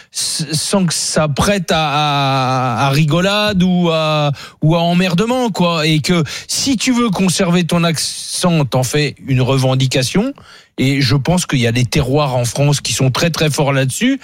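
A man speaks on a radio debate panel in a dry studio. His voice sits somewhat high for a man, and he talks in a blunt, colloquial way, with plenty of fillers as he works out his point. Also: no room sound. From Burgundy. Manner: blunt, colloquial, thinks aloud with many fillers.

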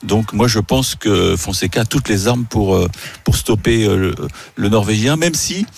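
A man's voice: lowish voice, lively intonation, moderate pace, gravelly, darkish tone, husky. A man giving a tennis report on sports radio, chatty and rambling, correcting himself as he goes. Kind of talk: casual sports radio chat.

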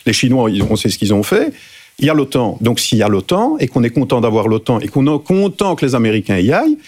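A man speaking as a panelist in a televised debate, arguing emphatically and pushing his voice up to sharp peaks. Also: mid-range voice, very animated, fast, slightly rough.

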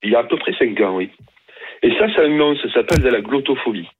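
A man calling in to a radio talk show, arguing his point emphatically with quick asides. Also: medium pitch, expressive, husky. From France. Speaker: caller. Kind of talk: radio call-in opinion. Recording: tinny phone line.

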